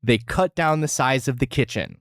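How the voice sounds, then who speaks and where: An American man in casual podcast conversation, reviewing as a co-host in an even-handed, conceding way. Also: mid-range voice, moderate pace, no room sound, studio quality.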